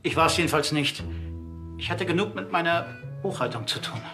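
An actor playing a polite, affronted undertaker under suspicion, formal and indignant in a TV crime comedy. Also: male voice, fairly high pitch, expressive, normal speed, music in the background. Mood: defensive. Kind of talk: naturalistic TV crime comedy acting.

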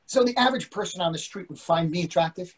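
Husband and father older man actor: husky, slightly worn voice, earnest, fretful, needling, conversational play reading. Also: high voice, expressive, fast. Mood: insecure, joking. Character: anxious, fretful middle-aged husband and father. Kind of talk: readers theatre play reading. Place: indoors, on a video call.

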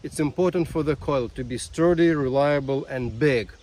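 A man gives a casual demonstration to camera in a halting way, repeating words, recorded outdoors in a field. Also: medium pitch, a little mellow. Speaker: ham radio vlogger. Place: outdoors in a grassy field beside a van.

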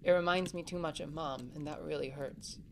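A woman's voice: low-pitched, steady tone, a little fast, a little hiss or hum. A woman playing an anxious, protective older sibling in a family audio drama, speaking earnestly and reassuringly. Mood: sad, vulnerable.

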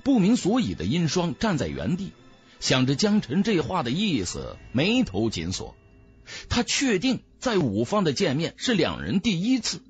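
A man narrating an audiobook in a dramatic, theatrical, expressive storyteller's manner. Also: on the high side, normal speed, faint music behind.

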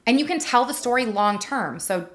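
A woman's voice: a little high, animated, moderate pace. A woman, around her thirties, coaching conversationally and emphatically as a podcast host, speaking into a studio microphone. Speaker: podcast host, marketing advisor. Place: small indoor podcast studio. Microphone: studio mic on boom arm.

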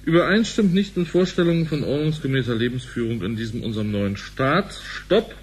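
A grumbling bank employee played by a male actor in a German radio play. His medium-pitched voice is conversational and suspicious, with faint noise behind it. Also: lively intonation, brisk, natural voice. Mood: reading out, dry. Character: grumbling, suspicious bank man, adult stepfather.

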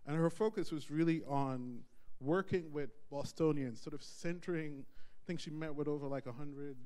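A middle-aged man introducing a conference speaker in a relaxed, chatty way, on a handheld mic in a large bright room. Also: on the high side, normal speed. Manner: relaxed, chatty introduction with some reading aloud. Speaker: event host introducing the speaker.

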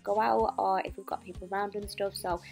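Chatty young British woman with creaky voice. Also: medium pitch, flat delivery, a little fast, darkish tone. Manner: chatty, informal. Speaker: home cleaning vlogger. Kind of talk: casual voice-over narration of household chores. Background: background music.

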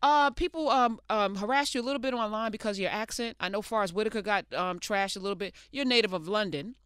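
A woman's voice: medium pitch, fast, fairly bright tone, clear, resonant tone, no echo, clean studio sound. A middle-aged woman with an American accent, interviewing as a radio host in a casual, blunt, colloquial way. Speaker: radio talk show host. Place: small radio studio. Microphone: close studio microphone on boom arm.

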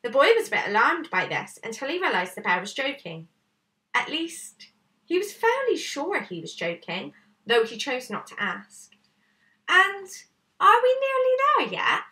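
A woman's voice: a little high, big pitch swings, moderate pace, fairly bright tone. A woman in her thirties reading aloud like a teacher, narrating a storybook in a room at home. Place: small bright room indoors at home. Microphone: camera a short way off. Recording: slightly distant camera microphone.

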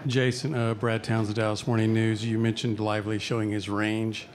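A male newspaper reporter asks questions at a press conference, his lowish voice staying level. He speaks conversationally, with slight hesitations. Faint room noise sits underneath. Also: level tone, moderate pace.

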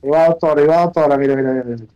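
A man's voice: fairly high pitch, very fast, clear, resonant tone, lo-fi sound. A Venezuelan man chatting casually and swearing in slang while he streams an online game. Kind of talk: casual voice chat while gaming.

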